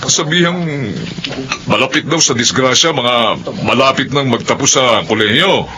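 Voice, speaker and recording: A man's voice: mid-range voice, expressive, moderate pace, crowd noise, lightly acted. An actor playing a worried, loving middle-aged father in a radio drama, earnest and admonishing. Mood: earnest lecturing. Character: worried, loving father lecturing his student sons.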